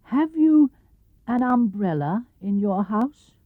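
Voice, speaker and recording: A man voicing an earnest, naive toy bear who plots in slow, deliberate phrases for a storybook reading. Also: high voice, expressive, no room sound, pitched above the performer's own voice. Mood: earnest, scheming. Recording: muffled vintage cassette or LP sound.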